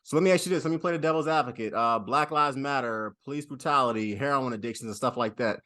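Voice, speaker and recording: Fast-talking casual man host. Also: medium pitch, sharp tone. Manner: casual, fast, many fillers and repeats. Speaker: podcast host. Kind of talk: casual interview chat.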